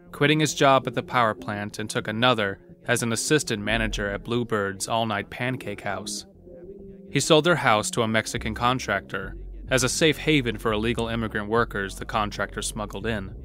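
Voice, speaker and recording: A man narrating a dark horror audio drama as its storyteller. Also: fairly low pitch, a little hiss or hum, natural voice.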